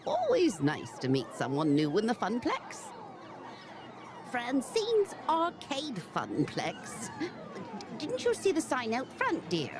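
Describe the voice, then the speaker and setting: A woman's voice: fairly high pitch, wildly swooping pitch, takes it slowly, background noise, heavily acted. A woman playing a cheery, bawdy older widow who runs an arcade in a visual novel game, doting and theatrical. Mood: cheery welcome. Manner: doting, theatrical, addresses listener as dear. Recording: game music behind.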